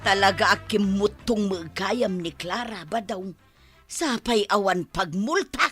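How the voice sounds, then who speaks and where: A woman acting an excitable, worried Filipino village wife with an Ilocano accent in an audio drama. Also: medium pitch, a little slow, over music, close to an everyday voice. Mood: pleading.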